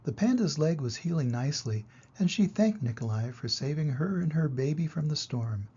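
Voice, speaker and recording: An older man reads a children's storybook in a husky, gravelly voice pitched a little high for a man. He narrates deliberately, with dramatic emphasis. The recording is slightly muffled and lo-fi. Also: expressive, dark tone. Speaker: grandfather reading stories aloud.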